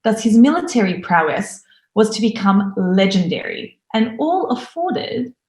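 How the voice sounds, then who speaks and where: A woman's voice: a little high, big pitch swings, a little slow, darkish tone. Enthusiastic woman presenter in an echoey room. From Australia. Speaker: conference speaker. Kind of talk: recorded conference talk.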